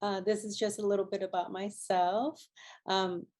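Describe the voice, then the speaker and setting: A middle-aged woman from Hawaii giving an architecture lecture over a video call, pausing often with ums and restarts. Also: mid-range voice, flat delivery, darkish tone, slight background noise. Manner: halting, many ums and restarts.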